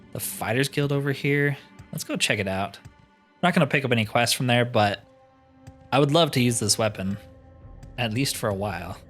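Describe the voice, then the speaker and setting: A man's voice: mid-range voice, a bit bright. A man giving casual, slangy, informal commentary while playing through a roleplaying game. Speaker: game streamer doing roleplay playthrough.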